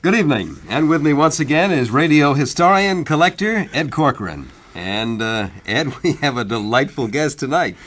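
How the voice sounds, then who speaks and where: A man's voice: a little high, sing-song, normal speed, few pauses, fairly bright tone. A man hosting a radio show, introducing the programme and interviewing his guest.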